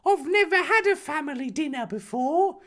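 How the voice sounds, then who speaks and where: A man voicing an eager, innocent young boy in a high, breathless voice. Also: animated, normal speed.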